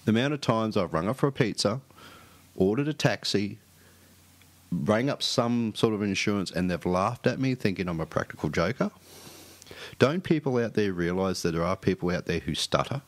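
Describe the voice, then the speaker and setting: A middle-aged man with a low voice tells a story with plenty of stuttered restarts. He talks loosely and casually, the way a co-host chats on his own show. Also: animated, moderate pace.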